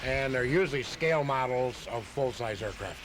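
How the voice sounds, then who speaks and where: A man's voice: medium pitch, animated, normal speed. A man casually explaining his hobby as a radio interview guest, in a matter-of-fact way. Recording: noisy home tape of live radio.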